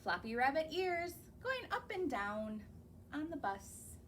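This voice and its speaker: Slow, sing-song woman reading to kids. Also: high voice, sing-song, fairly bright tone, slight background noise. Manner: sing-song, slow, coaxing, asks the children questions. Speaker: children's librarian. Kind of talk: reading picture books aloud to young children. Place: library or home, recorded on camera.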